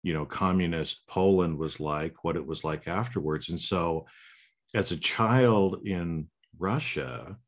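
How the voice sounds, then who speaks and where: A man's voice: deep voice, unhurried. A middle-aged American man hosting an interview, talking loosely with lots of restarts and "right?" tags. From United States. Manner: rambling, many restarts and tag questions. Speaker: podcast host. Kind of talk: casual interview chat.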